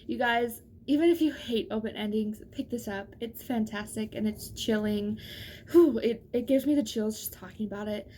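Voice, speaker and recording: Casting: female book vlogger, higher-pitched voice, chatty, emphatic and informal, faint background noise. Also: moderate pace, mellow. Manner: gushing, emphatic, informal, addresses viewers directly. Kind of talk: casual chatty book review to camera.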